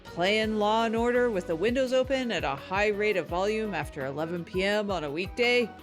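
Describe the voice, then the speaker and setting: A woman's voice: medium pitch, animated, brisk. A woman delivers a scripted mock advertisement. Her mid-range voice is declarative as she presents the sponsor. Light music plays behind her. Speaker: sponsor ad reader.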